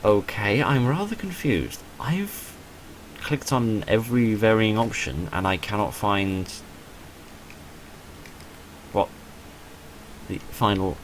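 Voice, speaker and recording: A man's voice: fairly low pitch, expressive, slow, long pauses, slight background noise, poor audio. A man reading visual novel game text aloud, mixed with casual, self-deprecating commentary.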